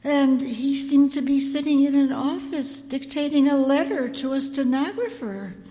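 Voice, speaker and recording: Casting: prim, wordy suburban wife played by a woman, high animated voice, radio mystery style, reverberant hall. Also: normal speed, lightly acted. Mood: earnest, confiding. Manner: prim, wordy, talks over her husband. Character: married suburban matron, genteel, insistent, credulous about seances. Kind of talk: old-time radio detective drama acting.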